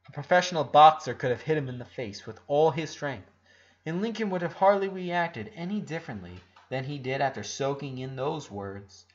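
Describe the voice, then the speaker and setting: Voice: medium pitch, moderate pace, highs cut off. A narrator giving a dramatic reading of prose fiction.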